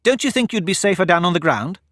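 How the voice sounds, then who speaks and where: A man's voice: sits high, lively intonation, fast talker, fairly bright tone, no room sound, clean studio sound, voice pushed up. A man voicing a polite, earnest young girl in a storybook reading.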